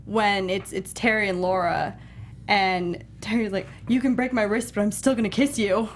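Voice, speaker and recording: Woman chatting on a review panel, average pitch, slight rumble in the background. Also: fairly even intonation, normal speed. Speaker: panel show co-host. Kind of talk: casual film review chat.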